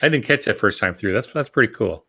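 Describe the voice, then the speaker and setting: A man's voice: lowish voice, expressive, fast, pushed and bright, slightly rough. A man co-hosting a podcast in casual conversation, reflective and folksy, feeling his way through his thoughts. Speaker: podcast co-host.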